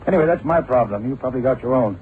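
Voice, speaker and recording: An actor playing a busy, hearty police captain in a radio drama, talking in a hurried, emphatic way. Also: male voice, mid-range voice, expressive, natural voice. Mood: harried, friendly. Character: hearty, harried male American police captain. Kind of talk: 1960s-70s radio drama acting. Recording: narrow, noisy old radio recording.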